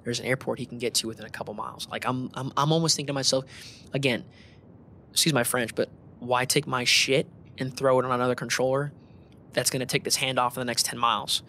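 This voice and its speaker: Bright-voiced man telling work stories casually. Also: mid-range voice, fast, a little hiss or hum. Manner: animated, informal, uses slang and quoted dialogue. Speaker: air traffic controller being interviewed. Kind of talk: casual conversational storytelling, acting out radio exchanges.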